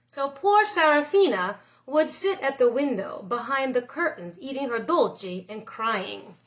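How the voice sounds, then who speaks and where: A woman's voice: sits high, expressive, a little slow, fairly bright tone. A woman narrating a children's picture book expressively, like a teacher reading aloud.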